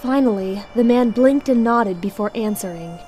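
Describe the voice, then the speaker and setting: Woman narrator with a slightly high voice. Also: normal speed, a little mellow, soft background music. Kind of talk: scripted audio drama narration.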